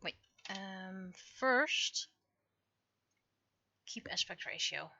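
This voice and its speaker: A woman with a bright, slightly high voice chats casually on a livestream. She thinks out loud, asking herself questions and muttering as she works. The sound is dry and close but a little dull and lo-fi. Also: animated, drawn-out words, no echo. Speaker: livestreamer, webcomic and visual novel creator. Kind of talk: casual livestream chat, thinking aloud while working.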